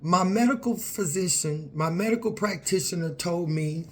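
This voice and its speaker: A woman's voice: fairly low pitch, normal speed. A woman, talking candidly and earnestly to her viewers in a personal vlog. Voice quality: raspy. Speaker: vlogger.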